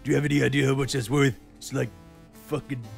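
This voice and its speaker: A man voicing a gruff, frantic bear man from a ruined future, stammering through an urgent comic plea. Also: medium pitch, little pitch movement, fast talker. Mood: panicked. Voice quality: gravelly. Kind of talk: comic in-character recorded plea. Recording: music under the voice.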